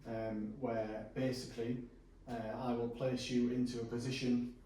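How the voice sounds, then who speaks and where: A man working as a personal trainer, calmly talking a client through stretches in a gym, his voice a little hoarse. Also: medium pitch, normal speed, a little hiss or hum. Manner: relaxed, reassuring instructions with frequent fillers. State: going hoarse. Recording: echoey room from a distance.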